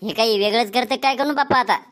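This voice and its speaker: A man's voice: very high-pitched, fast talker, a bit bright. A man voicing a rude, bragging male quack doctor in a comedy cartoon, scolding in a Vidarbha Marathi accent. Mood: bossy. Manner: brusque, scolding, boastful.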